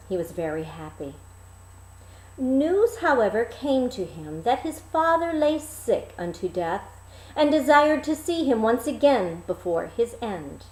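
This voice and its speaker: A woman reading a fairy tale aloud as a theatrical storyteller, pausing between phrases. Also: fairly high pitch, a little mellow, echoey room, rough recording. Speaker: storyteller, reader.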